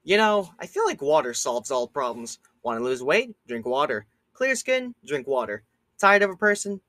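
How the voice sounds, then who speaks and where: A smug young anime villain played by a man, breezy but menacing. The voice is highish and bright, very animated, for an amateur comic dub. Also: normal speed, no echo. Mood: sly, smug. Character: smug, menacing young man giving cheerful dark advice.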